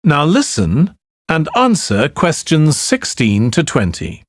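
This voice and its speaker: A man's voice: a little high, wildly swooping pitch, slow pace, no echo. A male announcer gives formal, scripted exam instructions. His intonation swings widely and the sound is dry, with little room around it. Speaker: test announcer.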